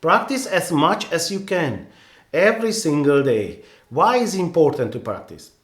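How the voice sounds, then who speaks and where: A man's voice: a little high, very animated, normal speed, roomy sound. A man with a Hungarian accent, a native Hungarian speaker, giving earnest, rambling photography advice with many restarts and "you know"s in a casual vlog.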